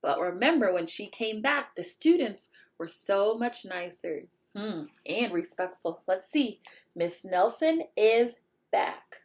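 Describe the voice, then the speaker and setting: A woman reading a picture book aloud to young children in an expressive way, pausing to ask the listeners questions. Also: mid-range voice. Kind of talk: animated children's read-aloud with asides to the listeners.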